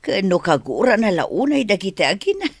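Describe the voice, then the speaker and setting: An animated, resentful Filipino mother. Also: medium pitch, normal speed, dry recording. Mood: resentful, indignant. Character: emotional, resentful mother in a family drama. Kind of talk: radio drama acting.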